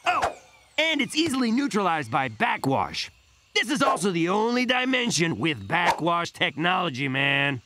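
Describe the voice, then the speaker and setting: A man's voice: sits high, wildly swooping pitch, moderate pace, noisy background. A man voicing a lazy, doom-saying slacker sloth in a cartoon, with laid-back, sighing talk that keeps tagging on "man". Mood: weary, explaining. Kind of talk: animated cartoon acting.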